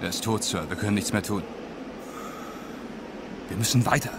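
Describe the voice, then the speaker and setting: An actor playing a tough, no-nonsense military captain in an audio drama, curt and commanding. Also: male voice, fairly low pitch, normal speed, very noisy, natural voice. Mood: brisk, firm. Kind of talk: German audio drama acting.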